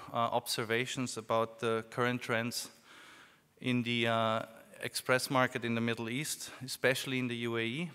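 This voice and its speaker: A man with a German accent giving a business presentation at a conference, speaking in a measured way with fillers. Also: mid-range voice, pushed and bright, smooth. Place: conference hall. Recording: reverberant hall, PA.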